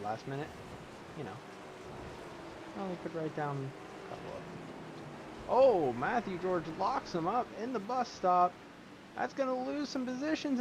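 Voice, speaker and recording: A man calling a sim race, casual and rambling, with asides. He is losing his voice and clears his throat now and then. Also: sits high. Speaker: race commentator. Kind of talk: sim racing play-by-play commentary. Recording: loud engine noise behind. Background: race car engine sounds.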